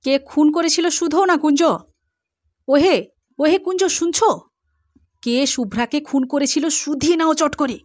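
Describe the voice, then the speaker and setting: A woman voicing a pushy old village man who gives urgent, hushed orders in a raised voice. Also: high voice, measured pace, pauses often, voice pushed up. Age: older. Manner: hushed but insistent.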